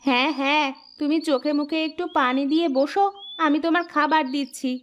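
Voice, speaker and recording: Woman as doting village housewife, folk tale cartoon, high voice, playful fussing, light background noise. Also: steady tone, fairly quick, dull audio, close to an everyday voice. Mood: attentive. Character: caring, coy young village housewife. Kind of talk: animated folk tale voice acting.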